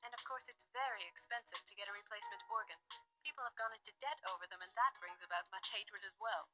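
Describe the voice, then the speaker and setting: A woman voicing a guarded, formal wife in a murder mystery visual novel, with measured, precise speech. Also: medium pitch, bright, forceful tone, soft background music, poor audio. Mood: defensive, matter-of-fact.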